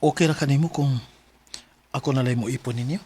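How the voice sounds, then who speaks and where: An earnest young man with a Cebuano Filipino accent, played by a male radio actor. He speaks sincerely and devotedly at a middle pitch, in the style of Philippine radio drama. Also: steady tone, quick pace, heavy background noise, natural voice. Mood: pleading.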